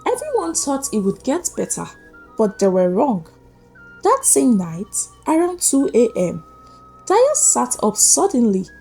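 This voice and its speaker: A woman narrating a scripted folktale as a storyteller, with suspenseful, deliberate phrasing. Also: on the high side, wildly swooping pitch, dark tone, breathy edge. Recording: music behind the voice. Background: background music.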